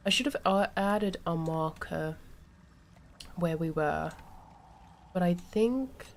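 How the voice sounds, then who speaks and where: Slow-talking, lowish-voiced woman streaming a game. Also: animated, a little hiss or hum. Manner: musing aloud, often muttering under breath. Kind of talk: casual live gameplay commentary.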